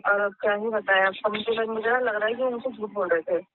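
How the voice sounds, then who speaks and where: A woman's voice: mid-range voice, fairly even intonation, fast talker, natural voice. Apologetic female tenant on a tinny phone, woman acting. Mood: worried, apologetic. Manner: hesitant, apologetic, placating. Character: worried, apologetic married female tenant, hesitant and placating. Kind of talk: staged phone call drama. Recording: narrow tinny phone line.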